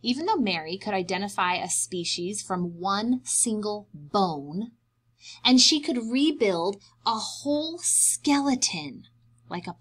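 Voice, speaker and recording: Animated woman reading slowly to young children. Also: mid-range voice, breathy edge. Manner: animated, simple explanations addressed to young children. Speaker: preschool teacher reading aloud to children. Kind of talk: expressive children's picture-book read-aloud.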